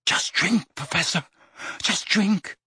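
Man playing a frightened teen boy wizard, pleading, shaky, raised pitch, husky. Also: takes it slowly, pushed and bright, no room sound, clean studio sound, exaggerated voice. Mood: desperate.

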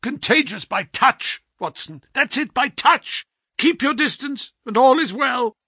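A man voicing a gravely ill detective who croaks feebly yet commands sharply. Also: extremely high pitch, lively intonation, measured pace, sharp tone, no echo, clean studio sound, pitched above the performer's own voice, full character voice. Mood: imperious. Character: gravely ill male detective, imperious and feverish.

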